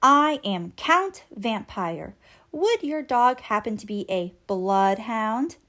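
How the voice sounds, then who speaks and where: Woman playing male vampire count, courtly, formal, unhurried, animated storybook delivery. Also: a little high, heavily acted.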